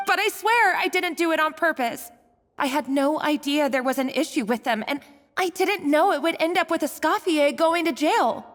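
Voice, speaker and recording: A woman's voice: high voice, lively intonation, normal speed, a bit bright, a little hiss or hum. A woman playing a timid, apologetic young kitchen worker in a game, hesitant and prone to stammering. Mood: guilty, anxious. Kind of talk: English game voice acting. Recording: long reverb over background music.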